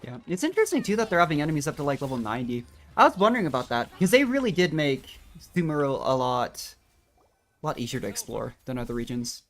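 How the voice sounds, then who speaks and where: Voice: medium pitch, very animated, fast talker, soft background music. A game streamer giving casual, chatty reactions and commentary on a video game, sounding amused.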